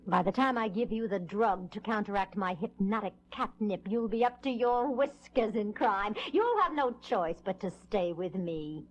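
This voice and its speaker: An actress playing a seductive, scheming cat-themed villainess in a 1970s superhero audio drama, teasing and commanding. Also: female voice, mid-range voice, animated, moderate pace, few pauses, highs cut off, close to an everyday voice. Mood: smug, commanding. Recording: noisy 1970s record transfer, clicks.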